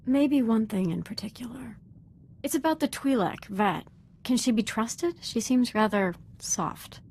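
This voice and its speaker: Devoted young Sith apprentice, background noise. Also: female voice, fairly high pitch, unhurried, a little mellow. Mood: deferential. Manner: earnest, deferential, articulate. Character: young female Sith apprentice, devoted, fervent, darkly eager. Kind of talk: dramatic video game voice acting.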